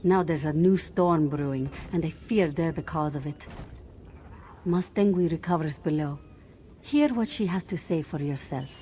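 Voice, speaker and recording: A woman's voice: deep voice, normal speed, mellow, slight background noise, lightly acted. An actress playing a composed, commanding female privateer captain in a fantasy video game. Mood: grave. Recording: game music behind the voice.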